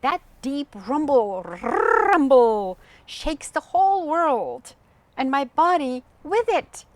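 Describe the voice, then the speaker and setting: A woman's voice: high voice, big pitch swings, slow, heavy background noise, voice pushed up, heavily acted. A woman voicing a timid, easily awed storybook child, anxious and dramatic. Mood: awed, nervous.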